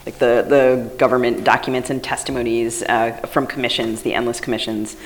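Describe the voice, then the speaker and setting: Very low-voiced woman co-hosting a live panel. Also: little pitch movement, brisk, slight background noise, low-quality recording. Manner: hesitant, many fillers and restarts. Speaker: podcast co-host. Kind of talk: casual live panel chat. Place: live event stage.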